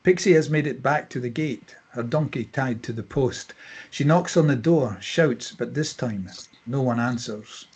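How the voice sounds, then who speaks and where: A man narrating scene headings and stage directions at a screenplay table read in a husky voice. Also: medium pitch, a little slow, darkish tone.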